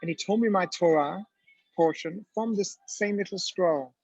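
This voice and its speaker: Gravelly man telling a story in a lecture. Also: high-pitched, moderate pace, darkish tone, no echo. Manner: conversational, with frequent ums and restarts. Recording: dull, dry lo-fi recording.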